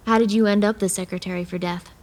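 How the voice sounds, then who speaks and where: A woman's voice: mid-range voice, steady tone, fast talker, darkish tone, natural voice. A woman playing a guarded, wry young woman who sees monsters in an audio drama, with an understated delivery. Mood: guarded, questioning. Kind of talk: audio drama acting with first-person narration.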